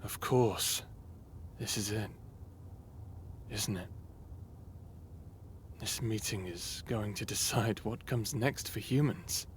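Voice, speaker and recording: A man playing a devoted, weary but steadfast human in an intimate audio drama, speaking in a heartfelt, deliberate way. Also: lowish voice, slight background noise. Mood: wistful, weary.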